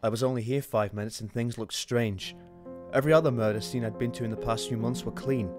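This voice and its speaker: A man's voice: medium pitch, fairly even intonation, fairly quick, lightly acted. A man playing a young, hard-nosed, suspicious police detective in an amateur radio play. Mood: brooding, suspicious. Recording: music and rain under the voice.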